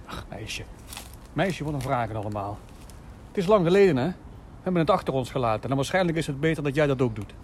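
An actor playing a kindly, evasive, middle-aged village priest in a naturalistic audio drama, musing and gently paternal. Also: male voice, mid-range voice, expressive, quick pace, slight background noise, close to an everyday voice. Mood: gently dismissive.

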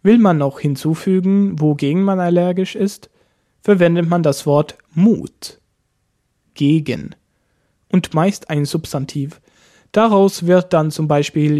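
A man teaching a language lesson, explaining each point slowly step by step. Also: fairly high pitch, mellow. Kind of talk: lesson narration.

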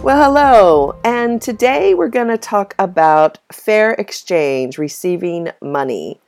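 A woman hosting a podcast solo in a casual, conversational, explanatory way, her voice a little hoarse from a cold. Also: mid-range voice, animated, takes it slowly, a little mellow, faint music behind. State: slightly hoarse from a minor bug. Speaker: podcast host.

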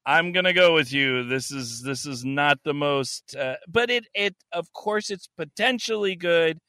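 A man's voice: fairly high pitch, measured pace, pushed and bright, no room sound. A man co-hosting a podcast, talking casually with crude, sarcastic jokes and swearing. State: hot and sweaty. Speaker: podcast co-host. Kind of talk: casual chat.

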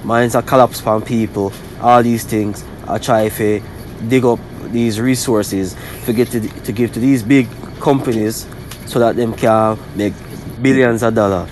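A man with a Jamaican accent in casual discussion, telling stories in halting, repetitive phrases. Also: lowish voice, fairly even intonation, slight background noise, rough recording.

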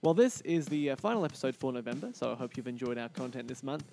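A man's voice: medium pitch, expressive, fast talker, gravelly, darkish tone, soft background music. A man hosting a podcast, chatting with a guest and addressing listeners. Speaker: podcast host. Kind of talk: podcast interview and sign-off.

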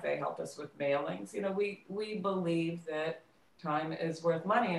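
A woman talking casually as an interview guest, telling things in a conversational way and sometimes halting as she searches for words. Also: low voice. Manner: animated storytelling, quoting dialogue, halting when searching for words. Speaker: interview guest, theater company co-director. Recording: roomy, echoey, distant microphone.

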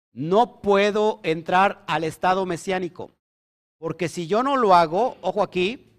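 A man teaching a sermon to a congregation, emphatic and often putting questions to his listeners. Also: high-pitched. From Mexico. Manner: emphatic, asks the audience questions. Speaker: preacher.

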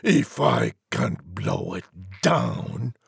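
A greedy, self-satisfied big bad wolf from a storybook, acted out by a man. The voice is husky and crackles with fry, drawing out its gloating menace with relish. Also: fairly low pitch, sing-song, extremely slow, no echo, studio quality, full character voice. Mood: threatening. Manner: gloating, savouring his words. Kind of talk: animated storybook character acting.

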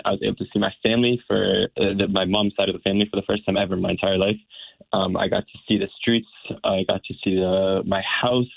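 Homem jovem de voz grave e rouca. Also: male voice, fairly low pitch, creaky, dry recording, narrow, tinny audio. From United States. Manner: earnest, halting, many restarts. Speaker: guest. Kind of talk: personal account in interview.

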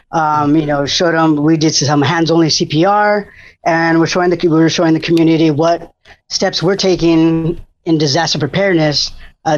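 An enthusiastic man talks in a rambling way, full of fillers and restarts. His voice sits a little high, and the recording sounds dull and muffled. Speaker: food bank disaster preparedness coordinator. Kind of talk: casual radio interview.

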